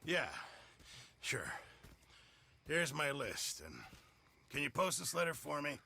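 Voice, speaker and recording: An actor playing the camp cook of an outlaw gang in a western video game, speaking hesitantly. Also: male voice, a little high, big pitch swings, slow, vocal fry, pushed and bright, slightly rough, exaggerated voice. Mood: agreeable. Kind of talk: video game cutscene acting.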